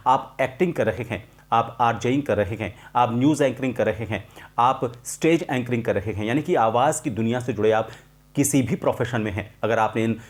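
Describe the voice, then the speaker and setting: A man presenting an instructional tutorial as a voice coach, explaining in a measured, emphatic way and repeating key points. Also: mid-range voice.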